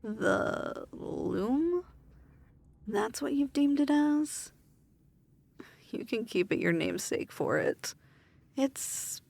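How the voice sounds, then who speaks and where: A woman playing a haughty, weary demon lord in an ASMR fantasy roleplay, speaking in a formal, measured, imperious manner. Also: on the high side, gravelly, a little mellow, heavily acted.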